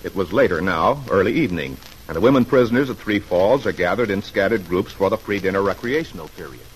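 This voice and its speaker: Male announcer-narrator: dramatic 1940s serial style, animated pitch, slightly noisy vintage radio recording. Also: quick pace. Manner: dramatic storytelling.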